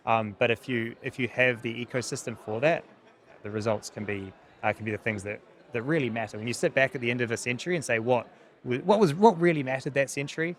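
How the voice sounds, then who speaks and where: New Zealand man, thirties, medium-pitched voice, earnest and halting interviewee explaining his work. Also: a bit bright. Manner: earnest, hesitant with repeated words. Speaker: technology company founder, interview guest. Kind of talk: conversational interview answers.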